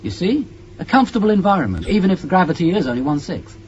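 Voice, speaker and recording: Keen curious astronaut lad, lively swoopy delivery, muffled old radio tape with faint noise. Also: male voice, sits high, quick pace. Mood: insistent. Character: eager, inquisitive young male spaceship crewman. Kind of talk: 1980s British radio drama acting.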